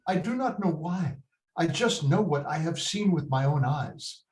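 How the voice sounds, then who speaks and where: A man playing a stern, slow-spoken loving father. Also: fairly high pitch, animated, darkish tone. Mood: grave, sorrowful. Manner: deliberate, paternal, slips Spanish words into English. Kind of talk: dramatic table read. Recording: roomy echoing video call.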